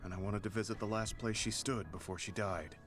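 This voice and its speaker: A man voicing an earnest, reserved older soldier in a video game drama, speaking in a measured, sincere and formal way. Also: on the low side, natural voice. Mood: gentle, somber. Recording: loud music behind the voice. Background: background music.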